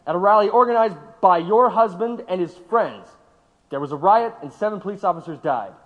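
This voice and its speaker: A man's voice: high voice, animated, fairly quick, phone-quality sound. A man acting in an audio drama as a stern, accusing government interrogator with a clipped, prosecutorial manner. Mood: guarded. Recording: long hall reverb.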